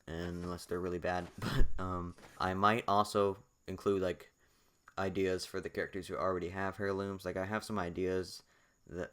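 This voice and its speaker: A man's voice: low voice, a bit bright. A young man talking casually over a video, rambling with many fillers and repeats, his voice partly lost. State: losing his voice. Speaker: gaming YouTuber.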